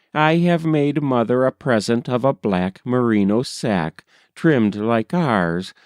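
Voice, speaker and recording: A man reading an old letter aloud as scripted narration, in a measured, deliberate manner with formal, old-fashioned phrasing. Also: medium pitch, a little mellow, dry recording, clean studio sound. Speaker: reader.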